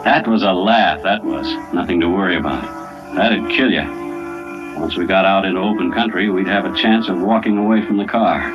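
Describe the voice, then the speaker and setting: An actor as low-voiced, wry American husband, vintage radio. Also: male voice, normal speed, over music, close to an everyday voice. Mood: grim, wry. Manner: dry, folksy, terse. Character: wry, anxious American husband held hostage, hardboiled narrator. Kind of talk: old-time radio drama acting and narration. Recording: muffled, noisy old radio recording.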